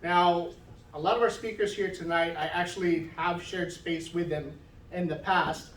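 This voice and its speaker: A man's voice: on the high side, fairly even intonation, moderate pace, fairly bright tone, slight background noise. Middle-aged man talking in an echoey room. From Philippines. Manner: conversational, often asks "right?". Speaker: guest speaker. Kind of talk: informal talk with slides. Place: event room. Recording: echoey room, mic from far off.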